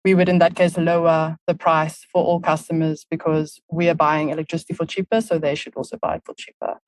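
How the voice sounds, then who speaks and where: A woman's voice: low voice, flat delivery, fairly quick, dry recording, rough recording. A woman in her thirties with a South African accent, a podcast guest who explains things at length with lots of "um" fillers. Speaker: podcast guest, marketing lead. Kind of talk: casual interview talk.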